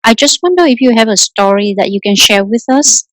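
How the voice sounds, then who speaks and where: A woman's voice: a little high, lively intonation, brisk, no echo. A woman hosting an interview, asking questions politely and appreciatively. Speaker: interview host.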